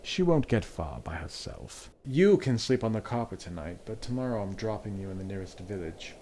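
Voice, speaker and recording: An actor playing a blunt, lecherous Duke in a storybook audio drama, grumbling and emphatic. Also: male voice, fairly low pitch, sing-song, moderate pace, mellow, slight background noise. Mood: weary, gruff. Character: lecherous, blunt, bearded middle-aged Duke.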